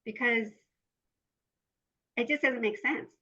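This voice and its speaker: A woman's voice: a little high, takes it slowly, pushed and bright, husky, muffled recording. A woman hosting a podcast, asking questions in a friendly, encouraging interviewer manner. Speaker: podcast host. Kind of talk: casual interview.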